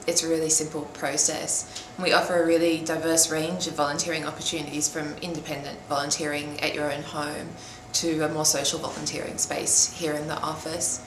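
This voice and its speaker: A low-voiced Australian woman answers interview questions informally, her pitch staying fairly flat. She is recorded in a roomy space with clear background noise.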